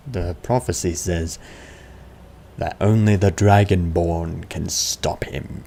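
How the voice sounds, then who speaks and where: A man's voice: sits low, fairly even intonation, slow, creaky, warm tone, slight background noise. A man acting out the player character's lines in fantasy game dialogue. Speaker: gamer voicing the player's lines. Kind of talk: acted reading of game dialogue.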